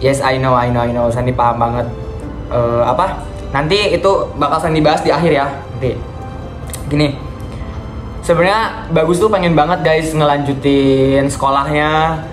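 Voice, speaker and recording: Chatty young man with a high voice. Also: moderate pace. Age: young adult. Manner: chatty, addresses viewers as guys, encouraging. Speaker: vlogger host. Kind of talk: casual video call chat. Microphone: video call. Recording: echoey room with faint music.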